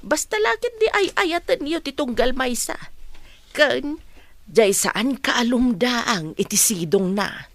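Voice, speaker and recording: A woman playing a caring, cautious mother who gently advises her grown daughter in a radio drama. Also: a little high, lively intonation, takes it slowly, dry recording. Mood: gentle advice.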